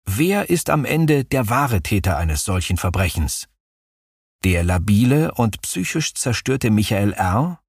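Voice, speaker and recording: A man co-hosting a true-crime podcast, narrating a court case in a measured, scripted way. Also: fairly low pitch, very animated, gravelly, slightly rough, no room sound, clean studio sound. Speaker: podcast co-host.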